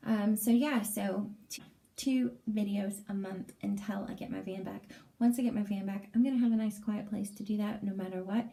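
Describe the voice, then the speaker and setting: Raspy-voiced woman vlogger, hesitant. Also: medium pitch, steady tone, moderate pace, warm tone. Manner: hesitant, many fillers. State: lingering cough, strained throat. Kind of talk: casual personal update vlog. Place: small bathroom indoors.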